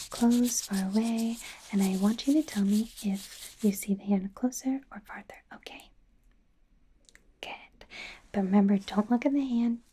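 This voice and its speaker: A woman playing a friendly, flustered young werewolf doctor in training in an ASMR roleplay, speaking in a soothing, hesitant, breathy whisper. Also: medium pitch, mellow. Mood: gently instructing. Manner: soothing, hesitant, rambling, self-correcting.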